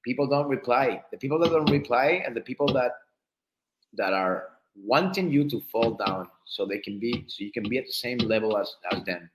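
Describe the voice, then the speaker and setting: A man on a group call giving halting encouragement, often repeating words as he restarts his sentences. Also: mid-range voice, expressive, background noise. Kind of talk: casual encouraging talk. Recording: echoey room.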